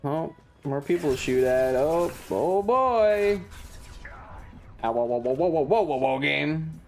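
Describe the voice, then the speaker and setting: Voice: medium pitch, expressive, slow pace, darkish tone. A game streamer chatting casually and swearing freely over game music. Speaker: livestream host. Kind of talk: casual gaming stream chat.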